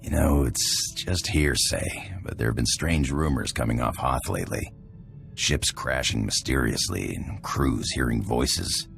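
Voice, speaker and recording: A man's voice: extremely low pitch, a little slow, vocal fry, a bit bright, faint music behind, heavily acted. A man voicing a tough, roguish space pirate in a video game cutscene, with a laid-back, streetwise manner. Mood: casual, wry. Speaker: pirate companion.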